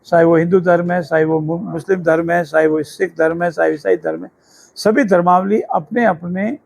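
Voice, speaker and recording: High-voiced male politician, emphatic. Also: creaky, a little mellow. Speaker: politician, government minister. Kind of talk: public statement to press.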